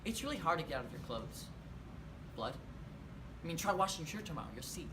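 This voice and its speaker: A man's voice: on the high side, big pitch swings, fast. A young man acting a monologue as a disturbed, childlike young man who talks about terrible acts in a matter-of-fact, eerily casual way. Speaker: actor performing a monologue. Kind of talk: theatrical monologue. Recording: distant mic with clear background noise.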